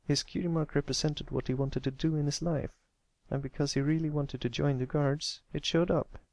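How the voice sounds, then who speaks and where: A man voicing a shy, earnest young male cartoon pony who speaks gently and hesitantly in a storybook reading. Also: a little high, steady tone, moderate pace, no echo, voice pushed up, lightly acted. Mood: gentle, reassuring.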